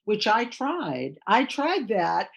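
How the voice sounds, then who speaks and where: A woman's voice: medium pitch, big pitch swings, slow pace, creaky, slightly rough, highs cut off. A woman who grew up in Thailand, a guest author chatting casually in an interview and laughing as she tells anecdotes.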